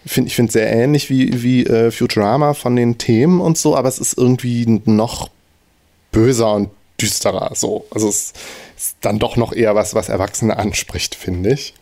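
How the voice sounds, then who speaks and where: A man's voice: medium pitch, normal speed, gravelly, dark tone. A man hosting a casual podcast chat, talking in loose, hesitant sentences with many restarts. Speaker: podcast host.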